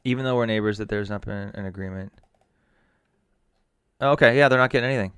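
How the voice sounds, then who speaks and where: A man's voice: fairly low pitch, fast talker, a bit bright, clear, resonant tone, no echo. A man co-hosting a casual podcast while feeling sick, telling rambling, profane, self-mocking stories. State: ill, under the weather. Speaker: podcast co-host. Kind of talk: casual chat.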